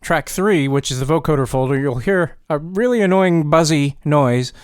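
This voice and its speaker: Clear-voiced man, casual and offhand, close dry sound. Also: on the high side, lively intonation, normal speed, dry recording. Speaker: tutorial presenter. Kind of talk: casual spoken software demonstration.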